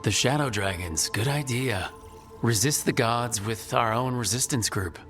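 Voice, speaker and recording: An actor playing an earnest, direct resistance hero in a fantasy video game. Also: male voice, mid-range voice, unhurried, a bit bright, soft background music, natural voice. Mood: earnest, resolute.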